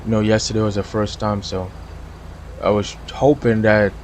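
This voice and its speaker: A man's voice: on the low side, level tone, moderate pace, a little mellow, slight background noise. A man from Trinidad with a Trinidadian accent, casually commentating a police game stream and chatting with viewers. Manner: casual, chatty, laughs often. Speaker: gaming streamer. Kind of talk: live game commentary with chat.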